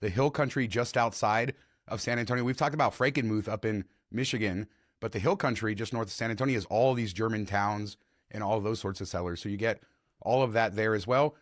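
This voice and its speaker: A man hosting a travel podcast, chatty and enthusiastic, his voice slightly hoarse, on a close podcast mic. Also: on the low side, brisk, no room sound. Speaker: podcast host. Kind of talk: casual travel podcast chat.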